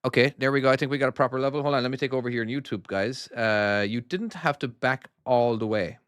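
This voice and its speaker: A man's voice: medium pitch, quick pace, a bit bright, clear, resonant tone, no room sound, studio quality. An Irish man who makes trucking games, chatting casually and self-deprecatingly on a live stream while playing and answering chat. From Ireland. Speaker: game developer streaming his own game.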